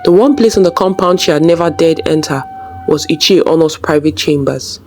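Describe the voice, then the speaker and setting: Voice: mid-range voice, a little fast, a little mellow, faint music behind. A storyteller reading a scripted folktale as its narrator.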